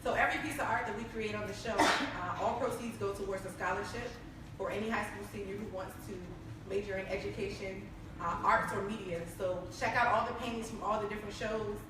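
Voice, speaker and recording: A woman's voice: medium pitch, steady tone, fairly quick. A woman hosts a panel talk show, chatting along in an upbeat way with plenty of "uh"s. Her voice rings in a very echoey room, picked up from a distance, with noise behind. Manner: upbeat, chatty, many fillers. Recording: echoey room, far from mic.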